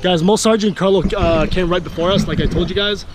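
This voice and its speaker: A man's voice: high voice, fairly even intonation, fast. Hyped young man vlogging outdoors. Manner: hyped, repeats "guys" and "literally". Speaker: vlogger host. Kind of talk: excited exploration vlog. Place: outdoors on a rusted wrecked ship by water. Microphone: handheld action camera. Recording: wind and water noise outdoors.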